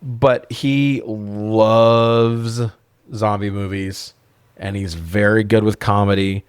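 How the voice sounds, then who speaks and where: A man's voice: fairly low pitch, fairly even intonation, takes it slowly, clean tone, dry recording, studio quality. A man co-hosting a casual film review, informal and wry, rambling into asides and swearing freely. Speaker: podcast co-host reviewing films.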